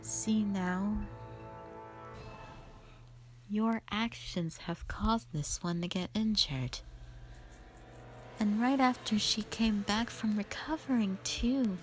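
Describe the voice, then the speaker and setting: A woman voicing a sadistic spider monster in a dramatic audio roleplay, taunting with a menacing, drawn-out delivery. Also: mid-range voice, faint music behind, natural voice. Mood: mocking.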